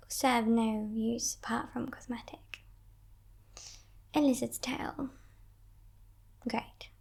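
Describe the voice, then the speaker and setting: A female demon captor acted by a woman in ASMR roleplay speaks in a breathy, creaking whisper at an unhurried pace. She is coolly amused and possessive, teasing her captive with condescending politeness. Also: fairly high pitch, heavily acted. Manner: slow, hushed, mock-polite, condescending.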